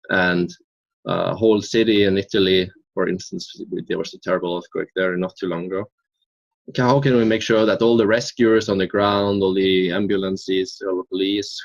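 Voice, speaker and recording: A man's voice: on the low side, no room sound, dull audio. A young man in his twenties from Norway, answering interview questions in an earnest, thoughtful way with frequent hesitations. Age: 20s. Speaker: interview guest.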